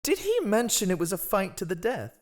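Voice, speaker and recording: A man's voice: high-pitched, huge pitch swings, fairly quick. A man acting a cunning, ruthless royal spymaster in a fantasy audio roleplay, with a sly, mocking, theatrically deferential manner.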